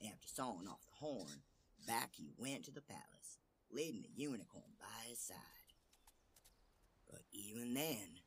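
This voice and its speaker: Low-voiced male fairy-tale storyteller. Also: a bit bright, a little hiss or hum. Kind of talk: expressive fairy-tale narration.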